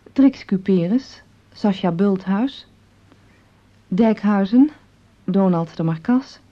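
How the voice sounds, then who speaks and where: A woman's voice: mid-range voice, highs cut off. A woman announcer reading the credits of a radio drama in a formal, scripted style.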